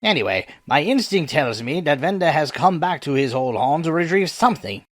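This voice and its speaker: A man voicing an excitable, insistent old former superhero's sidekick in a cartoon comic dub, urgent and dramatic. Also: fairly high pitch, normal speed, dry recording, clean studio sound. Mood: indignant. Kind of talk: acted comic dub dialogue. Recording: music behind the voice.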